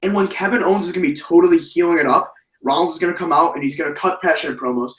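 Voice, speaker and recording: Man, hoarse, slightly high voice, animated rambling talk, casual wrestling chat, echoey room, far from the mic. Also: rapid-fire. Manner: animated, rambling, many fillers and restarts. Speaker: wrestling podcast host.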